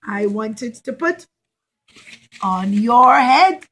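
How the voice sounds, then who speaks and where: Woman playing a caring young girl, puppet show, high-pitched, unhurried, earnest, excited. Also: exaggerated voice. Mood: concerned, pleading.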